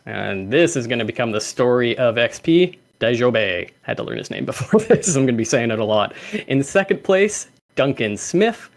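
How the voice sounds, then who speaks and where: A young man hosting a livestreamed community call, reading out names and laughing often. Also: medium pitch. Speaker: host.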